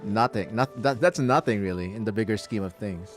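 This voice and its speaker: A man's voice: fairly low pitch, a little fast. A middle-aged man from the Philippines giving casual, rambling commentary on a livestream as he plays a game. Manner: chatty, rambling. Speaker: game streamer. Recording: game music behind.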